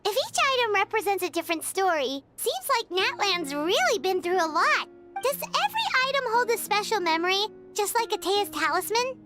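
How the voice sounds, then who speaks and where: A woman's voice: extremely high pitch, sing-song, normal speed, over loud music. A woman voicing a tiny, childlike fairy companion who is cheerful, curious and exclamatory, in a video game. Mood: excited. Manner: refers to self in third person, exclamatory. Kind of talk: video game character voice acting.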